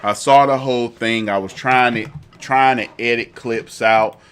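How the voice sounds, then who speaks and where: A man's voice: mid-range voice, steady tone, a little slow, a bit bright, smooth. A man hosting a political talk show with a lingering cough, repeating himself as his commentary builds into heated indignation. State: fighting a cough.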